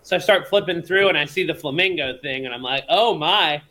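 A man's voice: fairly high pitch, fairly quick, pushed and bright. A man from Florida, a guest songwriter, chatting earnestly and easily on a podcast. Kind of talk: casual chat.

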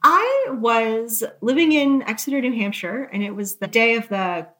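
A woman's voice: fairly high pitch, lively intonation, moderate pace, pushed and bright. A woman author being interviewed, talking candidly and conversationally, with self-deprecating asides and many loose hedges. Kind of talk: conversational interview, telling anecdotes.

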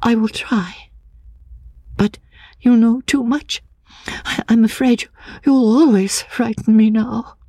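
A fragile, frightened young woman from a classic novel. Her voice is very high, whispery and breathy, and she speaks timidly in halting, stammered phrases. The recording is close and dry. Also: fairly even intonation, mellow, no echo. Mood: timid, gentle.

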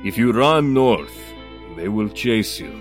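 A man voicing a calm, protective stranger in a tabletop game, grave and deliberate. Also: on the low side, faint music behind. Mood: grave warning.

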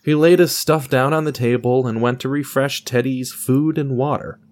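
A man's voice: medium pitch, steady tone, normal speed, darkish tone. A man narrating a scripted audio drama in a theatrical, storybook style, with a wry touch.

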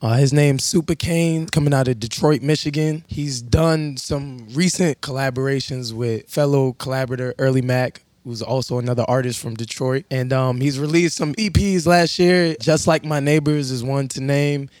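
A man with a hoarse voice, a radio intern, introducing an artist in an earnest, steady way with some "um" and "you know". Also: medium pitch, moderate pace, few pauses, no room sound. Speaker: radio intern presenting a music pick. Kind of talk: casual radio chat introducing a song.

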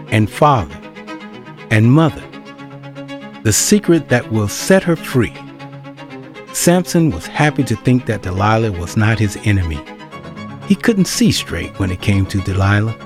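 A man's voice: on the low side, very animated, a little slow, long pauses. A man reading scripted narration for an audio drama, with string music behind him.

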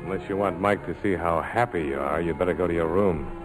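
A man plays a cynical, worldly military skipper in a low voice, clipped and wry. The sound is a 1940s radio broadcast with music underneath. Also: quick pace, natural voice. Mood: curt, sardonic. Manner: terse, hard-boiled, wry. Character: tough, cynical army-major skipper, cool and worldly. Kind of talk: 1940s radio drama acting.

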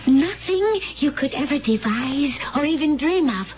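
An actress playing an innocent, gentle young woman of a peaceful world, earnest and wondering, in 1970s radio drama style. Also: high voice, lively intonation, a little slow. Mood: anguished, pleading. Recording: dull, noisy 1970s radio recording.

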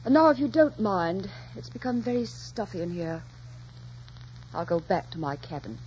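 A woman's voice: medium pitch, animated, moderate pace, a little mellow, lightly acted. An actress playing a haughty, wounded noblewoman in a 1940s radio drama, theatrical, scornful and impassioned. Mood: scornful, defiant. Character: haughty, defiant widowed English noblewoman, sharp-tongued and wounded. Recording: muffled, noisy 1940s radio recording.

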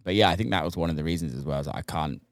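A man hosting an interview, relaxed and chatty with short agreeing replies. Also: extremely low pitch, very fast, dry recording, clean studio sound. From United Kingdom. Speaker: podcast host. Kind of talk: casual interview chat.

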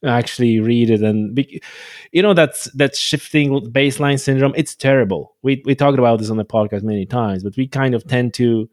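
A man's voice: mid-range voice, normal speed. A man from Poland, hosting a podcast interview, eager and rambling with frequent restarts. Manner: enthusiastic, rambling, many restarts. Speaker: podcast host. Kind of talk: conversational interview.

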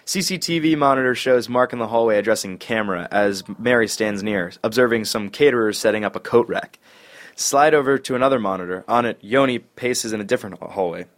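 A man's voice: on the low side, fairly quick. A man narrating the stage directions of a comedy script in a casual, amused way.

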